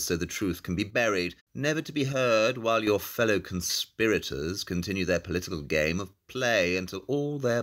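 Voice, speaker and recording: An actor playing a brilliant, self-assured detective in an audio drama, speaking in a precise, theatrical, condescending way. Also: male voice, medium pitch, a bit bright, lightly acted.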